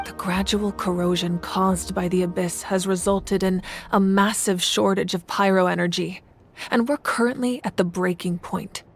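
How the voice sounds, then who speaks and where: A woman's voice: mid-range voice, fairly even intonation, husky. Composed, commanding female leader, music behind. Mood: resolute. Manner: measured, dignified. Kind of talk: anime video game voice acting. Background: game music.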